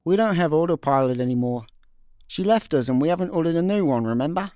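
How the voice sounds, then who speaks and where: A man's voice: on the high side, expressive, fast, mellow, smooth, no echo, clean studio sound, close to an everyday voice. A man playing an easygoing, slightly more sensible slacker in a comedy sci-fi radio play, casual and hesitant. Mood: pondering.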